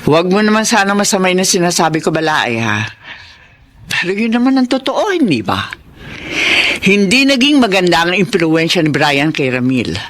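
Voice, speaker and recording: A man's voice: sits high, sing-song, moderate pace, fairly bright tone, slight background noise. A man with a Filipino accent playing an older, admonishing, protective parent of a grown son in a radio drama. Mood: defensive, reproachful. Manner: preachy, emphatic.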